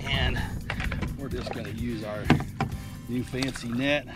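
A man's voice: steady tone, slow pace, over loud music. A middle-aged man, a fishing guide, talking casually and enthusiastically to camera while fishing from a boat on a lake, recorded on an action camera. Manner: enthusiastic, chatty salesman pitch with fillers. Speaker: fishing guide and channel host. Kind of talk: outdoor fishing vlog, product talk.

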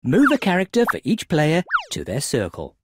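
Highly animated children's game narrator. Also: normal speed, noisy background. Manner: expressive, instructional, addressed to young children. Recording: music behind the voice.

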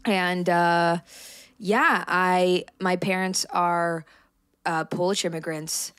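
Young woman from canada rambling on a podcast, laughs a lot, lowish voice, super dry mic. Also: fairly even intonation, slow pace, a bit bright, clear, resonant tone, dry recording. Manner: giggly, rambling, self-deprecating. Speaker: podcast co-host. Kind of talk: casual chat. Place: small apartment room, indoors. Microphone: handheld dynamic mic, close.

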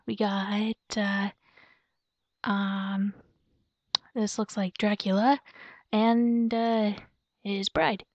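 A young woman playing a playful, excitable girlfriend in a roleplay audio, speaking softly and hesitantly as if musing aloud to her partner. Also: medium pitch, extremely slow, pauses often, dry recording. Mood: musing, upbeat. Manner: giggly, cutesy, soft and hesitant, musing aloud. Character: playful, excitable werewolf girlfriend shopping for Halloween costumes. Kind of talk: girlfriend roleplay ASMR, improvised-sounding monologue to a partner. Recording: dull lo-fi close dry recording.

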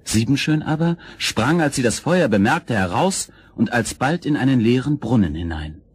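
A man narrating a children's fairy tale as storyteller, with expressive, scripted storybook delivery. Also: medium pitch, normal speed, breathy edge.

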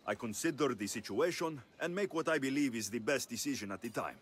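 An actor with a Greek accent playing a confident, wry ancient Greek mercenary in a video game, dry and measured. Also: male voice, medium pitch, lively intonation, slight background noise, close to an everyday voice. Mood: earnest.

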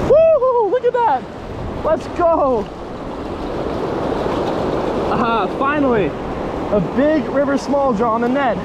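A young man in his twenties vlogging while fishing in a river by a waterfall, excitable and whooping when fish bite, recorded on a handheld action camera. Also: very high voice, highs cut off. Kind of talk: excited outdoor vlog. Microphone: action camera held at arm's length. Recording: loud rushing water noise. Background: rushing river water.

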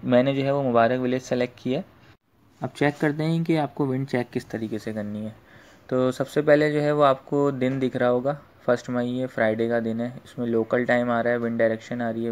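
A man's voice: medium pitch, darkish tone. A man giving an informal screen tutorial, explaining step by step with repeated filler phrases. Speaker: fishing tutorial host.

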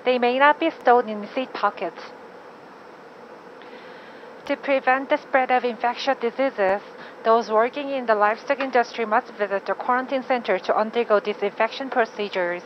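A woman's voice: a little high, very slow. Muffled female flight attendant announcement. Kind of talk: formal scripted cabin announcement. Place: aircraft public address system. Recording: muffled cabin PA with noise. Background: cabin noise.

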